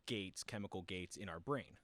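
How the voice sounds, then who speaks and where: Young guy with a really low voice, rambly podcast chat, laughs a lot. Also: moderate pace, fairly bright tone. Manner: rambling, laughs often, explains science loosely. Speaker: podcast host. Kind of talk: casual chat.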